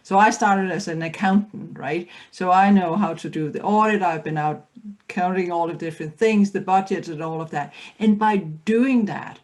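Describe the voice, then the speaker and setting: A woman's voice: fairly low pitch, moderate pace, a little mellow. A middle-aged woman from Denmark with a Danish accent, speaking earnestly as a panelist with frequent restarts. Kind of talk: panel discussion.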